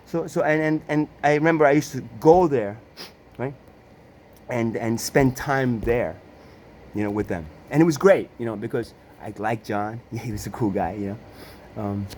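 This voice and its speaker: A man's voice: mid-range voice, a little mellow, a little hiss or hum. A man telling a personal family story in casual talk, stumbling over restarts and repeated words, swearing often and tossing in sarcastic rhetorical questions. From Italy. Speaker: storyteller recounting his family.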